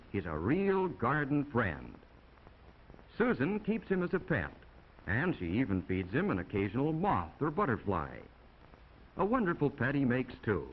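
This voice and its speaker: An American man narrating a 1950s classroom film in a folksy, instructive way with chatty asides to young viewers, on an old film soundtrack. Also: medium pitch. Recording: dull, noisy 1950 film soundtrack.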